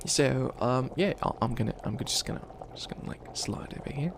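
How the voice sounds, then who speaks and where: A man's voice: medium pitch, lively intonation, fairly quick, creaky, background noise. A man voicing an ancient bunny witch, a failed villain who is flustered and kindly, rambling and stammering through a comedic ASMR roleplay. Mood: helpful, encouraging. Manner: rambling, stammering, apologetic, trails off. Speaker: mentor to a new apprentice.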